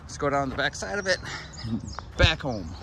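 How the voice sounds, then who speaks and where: A man's voice: medium pitch, sing-song, normal speed. Minnesota guy huffing up a hill on a run, chatting to viewers, phone on a gimbal, lots of outdoor noise. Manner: chatty, addresses viewers directly. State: out of breath. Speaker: running vlogger. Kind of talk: casual narration while running outdoors. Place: outdoors on a park hill trail. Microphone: phone on a handheld gimbal. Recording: outdoor phone audio with audible background noise.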